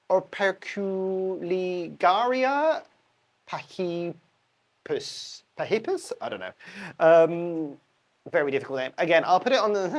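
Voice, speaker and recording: A woman's voice: low-pitched, darkish tone. A woman with a stuffy, bunged-up voice from a cold, chatting casually and rambling a little as she shows houseplants at home. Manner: chatty, rambling, self-correcting with laughs. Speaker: houseplant channel host. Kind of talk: casual chat to camera. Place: indoor plant room at home.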